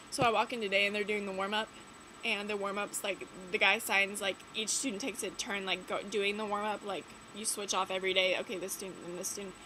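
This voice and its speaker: A woman's voice: medium pitch, bright, forceful tone, rough recording. A teenage girl, chatting casually in a vlog, rambling with lots of "like" and "um", her nose a bit stuffy from a cold. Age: late teens. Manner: rambling, many "like" and "um" fillers. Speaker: vlogger. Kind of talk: casual chat to camera. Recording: audible background noise.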